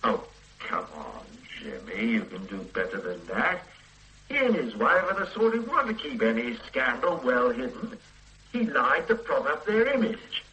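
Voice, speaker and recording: A brisk, self-assured detective in a radio drama, quick to dismiss any doubt. His voice sits mid-range but bright and lively in its rises and falls. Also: mid-range voice, low-quality recording. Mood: dismissive. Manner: brisk, dismissive, matter-of-fact. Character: confident male police detective, brisk and certain. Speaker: senior police detective.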